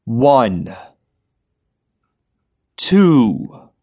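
Male reader doing a foul-mouthed, barking bank robber; lively, swinging pitch. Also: very slow, breathy edge, full character voice. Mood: threatening. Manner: shouting, vulgar, commanding. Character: violent male bank robber yelling orders.

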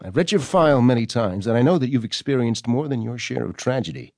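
Stern, low-voiced formal doctor. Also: male voice, lively intonation, a little mellow, no echo, clean studio sound. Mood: stern, reluctant. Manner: formal, measured, stiff and condescending. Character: stern, formal male government doctor answering by email. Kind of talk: audio drama acting, reading out correspondence.

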